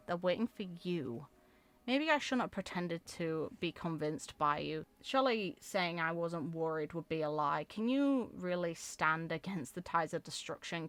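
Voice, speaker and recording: A woman with a slightly low voice reads video game story text aloud. She is an earnest, expressive reader with the informal feel of a gaming creator. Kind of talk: reading story text aloud with casual reactions.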